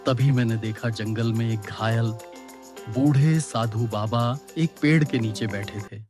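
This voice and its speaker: A man's voice: medium pitch, unhurried, over music, natural voice. A man playing a troubled young prince under a curse in a cartoon story, speaking earnestly. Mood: weary, confessing. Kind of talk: Hindi animated moral story dialogue.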